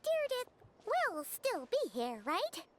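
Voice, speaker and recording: A woman's voice: wildly swooping pitch, takes it slowly, noisy background, exaggerated voice. A woman voicing a tiny, chirpy and blunt fairy companion in a video game, speaking of herself in the third person. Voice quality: high, bright, squeaky. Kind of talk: game voice acting.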